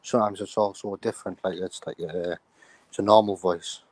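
A young rapper from the United Kingdom answering interview questions over a video call, mumbling with lots of "you know what I'm saying". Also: male voice, sits low, steady tone, a little fast, darkish tone, no room sound. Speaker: rapper guest.

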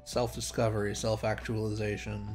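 A man's voice: on the low side, monotone, moderate pace, darkish tone, faint music behind. A man doing casual, joking, sweary commentary on a video game he is playing. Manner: jokey, sweary. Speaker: let's play commentator.

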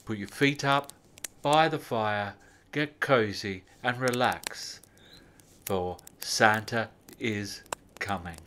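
A man reading a children's story as narrator, in a measured, expressive way. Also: fairly low pitch, a little hiss or hum.

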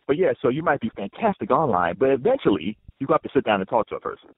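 A man's voice: medium pitch, very animated, fast, slightly rough, dry recording. A man hosting an interview, asking chatty, self-deprecating questions. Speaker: host interviewer. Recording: tinny phone-like audio.

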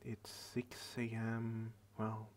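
A tired young man hosting a late-night ASMR video, rambling in a soft half-whisper into a headset microphone at his computer desk. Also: fairly low pitch, completely flat delivery, extremely slow, a little hiss or hum. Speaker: channel host.

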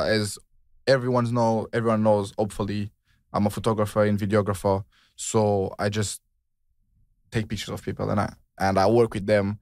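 A young man from Portugal hosts his podcast in a loose, rambling way, full of slang and fillers. His voice sits a little low. The sound is dry and close. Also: no echo. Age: 20s. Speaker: podcast host. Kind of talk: casual chat.

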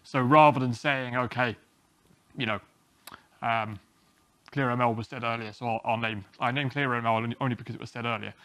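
A man, giving a relaxed, self-deprecating technical talk at a small meetup, often restarting his sentences. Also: mid-range voice, fairly quick, long pauses, dry recording. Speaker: conference speaker. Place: small meeting room, indoors.

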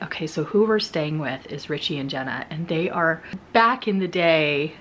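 A woman's voice: low-pitched, fairly bright tone, slight background noise. Chatty giggly woman vlogging to camera. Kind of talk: casual vlog chat to camera. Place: bedroom in a house. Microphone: handheld camera at arm's length.

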